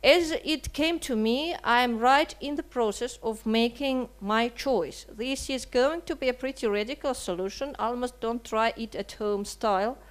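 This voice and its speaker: Woman, slightly high voice, writer reading a statement, measured and earnest, rhetorical questions. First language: Russian. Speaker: writer and translator. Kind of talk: reading a prepared seminar statement. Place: seminar hall.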